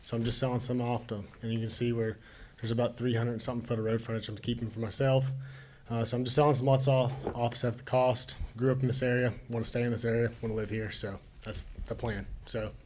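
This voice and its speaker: A man's voice: mid-range voice, little pitch movement, quick pace, a little mellow. Young guy, casual and plainspoken, speaking at a hearing, faint hiss. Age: young adult. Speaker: applicant buying land. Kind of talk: public hearing testimony.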